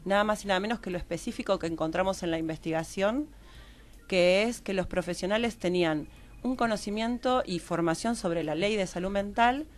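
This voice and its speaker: A woman's voice: mid-range voice, a little fast. A woman with a Rioplatense Argentine accent speaking as a radio guest, explaining research and often restarting to rephrase. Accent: Rioplatense Argentine Spanish. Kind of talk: explanatory radio interview talk. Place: radio studio table.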